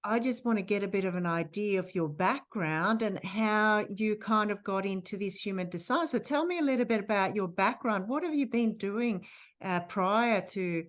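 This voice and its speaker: A woman's voice: medium pitch, moderate pace. A woman hosting a podcast, introducing and interviewing her guest in a casual, effusive way with many fillers and restarts. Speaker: podcast host.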